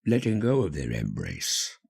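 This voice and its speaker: A man's voice: rumbling low, huge pitch swings, a little slow, vocal fry, a little mellow, slightly rough. A man reading a fan-fiction story as a dramatic scripted narrator.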